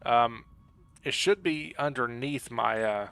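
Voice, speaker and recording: A man's voice: medium pitch, takes it slowly, fairly bright tone. A man live-streaming a video game, chatting casually with his viewers in a rambling, joking, apologetic way. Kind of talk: casual chat with stream viewers while gaming. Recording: clearly audible background noise.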